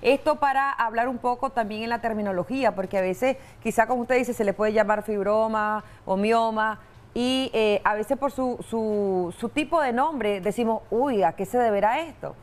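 A woman's voice: fairly high pitch, normal speed. A woman in her thirties with a Nicaraguan accent, hosting a TV studio show and asking courteous questions. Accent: Nicaraguan Spanish. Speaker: TV host. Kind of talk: morning TV hosting.

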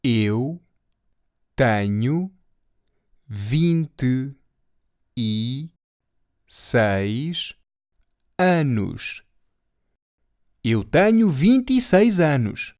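A speaker with a European Portuguese accent, slowly repeating phrases word by word for learners. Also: mid-range voice, big pitch swings, a little mellow, no room sound, studio quality. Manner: slow, carefully articulated word-by-word repetition. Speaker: language teacher. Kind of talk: slow repetition drill for learners.

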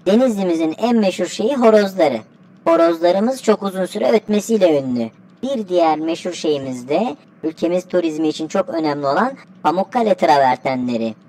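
A woman's voice: on the low side, dark tone. A woman voicing an eager, polite schoolchild answering a grandparent's quiz in an educational cartoon.

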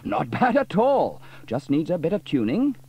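A man's voice: on the high side, wildly swooping pitch, normal speed, mellow, slightly rough, pitched above the performer's own voice, full character voice. A man voicing a cheerful, proud, inventive village fireman in a storybook reading. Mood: cheery. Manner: hearty and proud.

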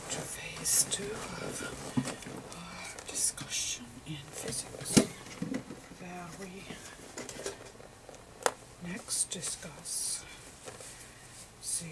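A woman voicing a gentle, eccentric old male physicist, lecturing haltingly in a murmured ASMR role-play. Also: lowish voice, rough recording. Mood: gentle, confiding. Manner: halting, whispery, murmured explanations with quaint formal phrasing.

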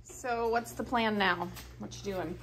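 A woman's voice: mid-range voice, unhurried, fairly bright tone, rough recording. A woman with a North American accent chatting casually and playfully as she films a vlog in a garage workshop, holding the camera. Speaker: vlogger filming her partner. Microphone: handheld camera, held by speaker. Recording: clear background noise.

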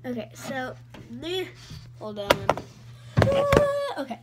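Female child vlogger, high-pitched and unhurried, informal home-tour talk, noisy handheld phone audio. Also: huge pitch swings. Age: child, about 10 to 12. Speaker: amateur vlogger. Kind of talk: casual home tour vlog chat. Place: inside a house, moving from room to room. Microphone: handheld phone at arm's length.

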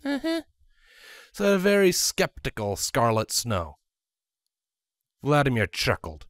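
A man narrates a piece of fiction in a mid-range voice. His delivery rises and falls in an animated way, and the sound is dry, with little room to it. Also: medium pitch, unhurried, dry recording. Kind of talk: scripted fan fiction story narration.

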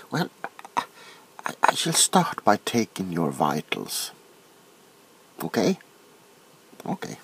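A middle-aged man playing a nervous, bewildered, awkwardly polite doctor in a soft-spoken comedic ASMR roleplay, stammering and repeating himself. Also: mid-range voice, breathy edge, exaggerated voice, low-quality recording. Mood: awkward, flustered. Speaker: ASMR performer.

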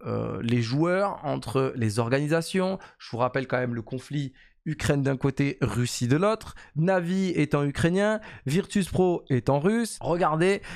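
A man in his thirties talks to camera about esports from his home streaming setup, speaking into a desk mic on a boom arm. His voice sits a little high for a man. He rushes along with plenty of fillers and repeated words. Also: gravelly, a little mellow. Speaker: esports YouTuber. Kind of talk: casual esports commentary to camera.

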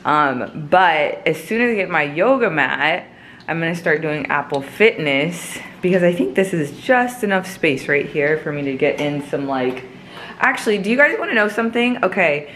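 A chatty woman with a slightly low voice talks casually to the camera at home, rambling and laughing. A faint hiss sits under her voice. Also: big pitch swings, unhurried, a bit bright. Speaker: vlogger. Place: home kitchen indoors.

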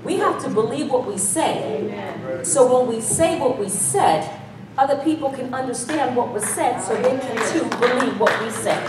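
A woman's voice: on the high side, animated, normal speed, crowd noise. A middle-aged American woman preaching a conversational sermon in a folksy, emphatic way, addressing the congregation directly. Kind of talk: animated conversational sermon with call-and-response. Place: church stage, indoors, before a congregation. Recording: echoey hall, distant mic, audience murmur.

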